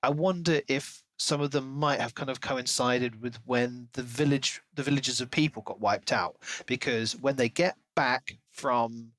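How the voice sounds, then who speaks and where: A man's voice: mid-range voice, unhurried, hardly any pauses, a bit bright, no echo. Man in casual book chat, dry close sound. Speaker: podcast co-host discussing a novel.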